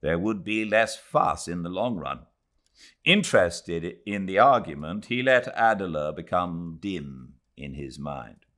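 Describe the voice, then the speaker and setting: A British man narrating a classic novel in a measured, expressive reading voice. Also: low-pitched. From Britain. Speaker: audiobook narrator. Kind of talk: scripted literary narration. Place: home, beside a fireplace.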